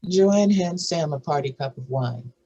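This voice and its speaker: A narrator with a husky, slightly rough voice reads screenplay stage directions and scene headings. The delivery is scripted narration for a table read. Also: mid-range voice, animated, unhurried, mellow, lo-fi sound.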